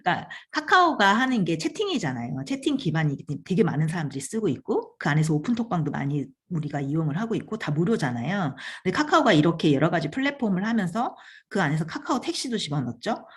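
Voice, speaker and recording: A woman's voice: fairly low pitch, very animated, quick pace, few pauses, husky. A woman hosting her own channel, talking casually about podcasting, chatty, laughing often and trailing off mid-phrase. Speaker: channel host giving advice on podcasting. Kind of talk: casual talk to camera.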